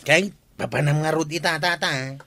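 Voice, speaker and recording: A man's voice: on the high side, moderate pace, gravelly, pushed and bright. An actor in a comedy radio drama playing an earnest, outspoken younger village man who questions and explains. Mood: concerned.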